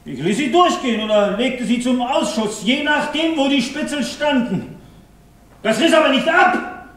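Defensive working man, stage drama, self-justifying, high-pitched, distant and reverberant. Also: expressive, normal speed, fairly bright tone. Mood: defensive, agitated. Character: defensive, embattled male worker despised by his colleagues. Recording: distant, very echoey stage recording.

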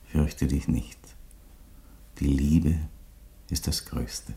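A man's voice: a bass voice, steady tone, drawn-out words, mellow, reverberant, full character voice. A man playing a solemn, defiant, fatherly Roman emperor in a theatrical radio drama, declamatory in manner. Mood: tender, grave. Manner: solemn, declamatory, from whispers to thunder.

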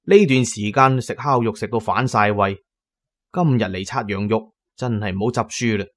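An actor with a Cantonese accent playing a pragmatic tomb raider who tells his own adventures in a radio drama, measured and colloquial. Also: fairly low pitch, steady tone, a bit bright, natural voice. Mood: wry, narrating. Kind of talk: first-person radio drama narration and dialogue.

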